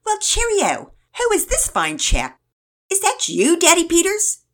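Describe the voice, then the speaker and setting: Woman doing a theatrical mock-British uncle, high and swooping. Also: wildly swooping pitch, measured pace, exaggerated voice. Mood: mock astonished. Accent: put-on British. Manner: theatrical, exaggerated mock astonishment. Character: joking great-uncle playing a jolly mock British gentleman.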